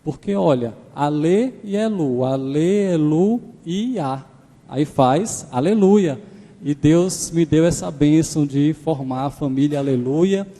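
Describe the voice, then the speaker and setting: A man in his thirties from Pernambuco, with a Pernambuco accent tinged by Maranhão, preaching as a guest with warm personal stories. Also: on the high side, takes it slowly. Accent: Pernambuco Portuguese mixed with Maranhão. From Pernambuco, Brazil. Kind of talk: sermon. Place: large church sanctuary. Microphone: handheld microphone. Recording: church hall reverb.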